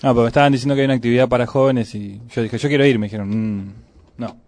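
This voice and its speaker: A man's voice: mid-range voice, steady tone, racing, darkish tone. An Argentine man co-hosting a radio programme, talking casually into a studio microphone. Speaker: radio co-host.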